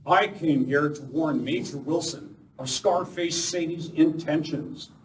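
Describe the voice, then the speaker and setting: Actor as an urgent, commanding masked western hero, roomy. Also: male voice, a little high, unhurried, natural voice. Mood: urgent, insistent. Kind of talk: old-time western radio play acting. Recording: roomy far-off live stage recording with noise.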